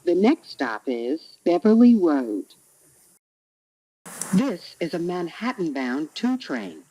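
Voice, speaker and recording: Slow, lilting woman train announcer. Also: lowish voice, very animated, warm tone, poor audio. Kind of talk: recorded transit announcement, scripted. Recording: recorded train announcement with clear noise.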